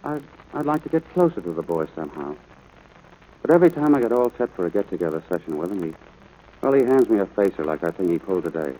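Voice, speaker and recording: An actor playing a stern, worn-out rancher father, gruff but caring, in 1940s radio drama style. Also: low-pitched, big pitch swings, fast talker, dark tone. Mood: reluctant, tender. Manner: gruff, exasperated. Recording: noisy old radio broadcast.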